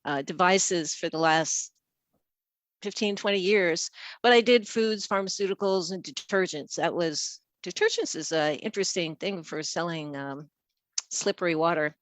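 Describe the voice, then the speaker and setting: A woman's voice: fairly low pitch, moderate pace, no echo. An older woman presenting casually on an online call as a guest consultant, with an informal, rambling way of talking. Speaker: featured guest, packaging engineer and consultant.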